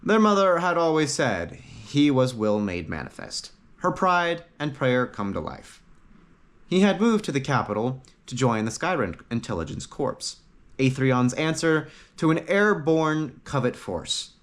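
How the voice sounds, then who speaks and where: A man narrating a fantasy story from a script, with dramatic pauses and short emphatic phrases. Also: mid-range voice, sing-song. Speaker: audiobook narrator.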